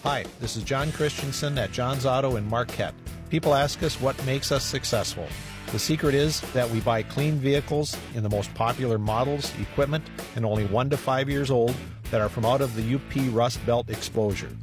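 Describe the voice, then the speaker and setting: A man's voice: mid-range voice, normal speed. A man reading a local radio advertisement for his used car dealership. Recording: ad with music bed.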